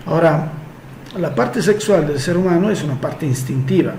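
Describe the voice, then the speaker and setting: A man's voice: on the high side, lively intonation, moderate pace, a little mellow, slight background noise. Man explaining in an echoey room. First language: Italian. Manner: explanatory, uses homely comparisons. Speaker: host answering listener questions. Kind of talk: advice talk reading a letter and answering.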